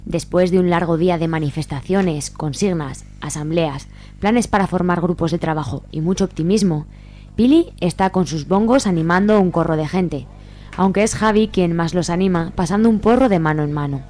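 A woman's voice: lowish voice, moderate pace, dark tone. A woman from reading scripted narration for a radio serial. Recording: faint hum and noise.

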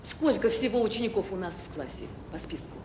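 A woman's voice: mid-range voice, very animated, brisk, natural voice. An actress playing an assertive mother at a parents' meeting, matter-of-fact and assured, in a naturalistic radio drama. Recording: echoey room with faint noise.

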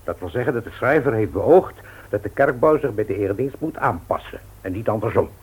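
An actor playing a stubborn, proud middle-aged bookseller of the old school, pedantic and self-important, in a Dutch radio play. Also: male voice, fairly low pitch, lively intonation, brisk, darkish tone, breathy edge, rough recording. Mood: indignant, lecturing.

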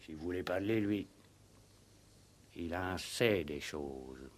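An actor playing a simple-minded farmhand, a blunt, grumbling village gossip who talks haltingly in a radio play. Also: male voice, deep voice, lively intonation, full character voice. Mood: opinionated. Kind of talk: 1960s French radio play acting.

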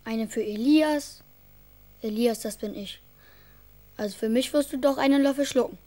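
A woman acts a little boy in a radio play for children. The voice is fairly high with a dark, soft tone. He sounds earnest, childlike and caring, a bit bossy when coaxing. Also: normal speed, close to an everyday voice.

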